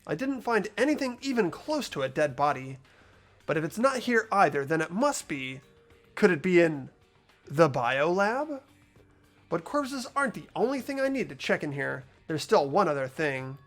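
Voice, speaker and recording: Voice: medium pitch, big pitch swings, normal speed, a bit bright, soft background music. A player voicing a mild-mannered, hesitant teenage boy detective, reading a video game's dialogue and inner monologue aloud. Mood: curious, thinking aloud. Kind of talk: amateur voice acting and inner monologue of a game script.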